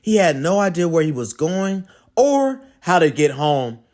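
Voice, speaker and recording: A man reading a children's storybook aloud as host, in an expressive, dramatic storytelling manner. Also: a little high, unhurried.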